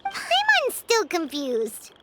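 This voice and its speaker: A woman voicing a tiny, childlike, excitable and easily confused game companion with a squeaky, exclaiming delivery. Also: slow, heavy background noise, full character voice. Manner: refers to self in third person, exclaiming. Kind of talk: anime-style video game voice acting.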